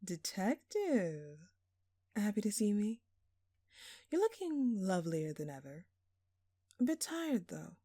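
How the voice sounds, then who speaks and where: A woman's voice: medium pitch, very animated, slow pace, long pauses, warm tone, no echo, studio quality, exaggerated voice. A woman playing a smug, obnoxiously flirtatious thief in an audio roleplay, drawling and teasing in a sultry, mock-innocent way. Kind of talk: audio roleplay acting addressed to the listener.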